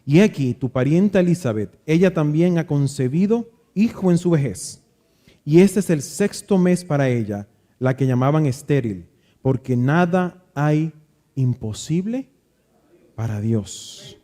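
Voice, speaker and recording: A man with a Dominican accent, reading scripture aloud and then telling a reflective story about growing up, pausing and restarting now and then. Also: a little high, animated, warm tone. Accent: Dominican Spanish. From Dominican Republic. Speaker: preacher. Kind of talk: Bible reading and devotional talk.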